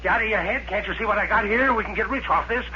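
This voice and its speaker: Man acting bossy folksy desert dad, high-pitched. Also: rapid-fire, close to an everyday voice. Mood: excited, greedy. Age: middle-aged. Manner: folksy rural grammar, needling and bossy. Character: greedy, bossy, folksy father running a desert gas stop. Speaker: actor in a radio drama. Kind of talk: 1950s radio acting. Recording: muffled noisy vintage radio recording.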